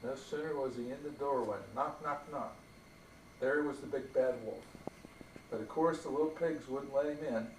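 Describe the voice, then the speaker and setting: A man's voice: medium pitch, moderate pace, darkish tone. Older man reading aloud in an echoey room. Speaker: grandfather reading bedtime stories. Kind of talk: storybook read-aloud to young children. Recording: roomy, distant mic, faint noise.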